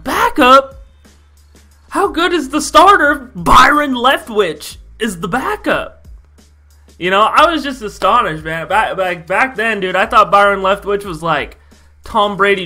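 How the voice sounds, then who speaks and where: A young American man with a fairly high, bright voice tells a casual story to camera from a small bedroom. He speaks like an animated fan, slangy and emphatic. There is faint music in the recording. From Idaho, United States. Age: young adult. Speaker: YouTube vlogger.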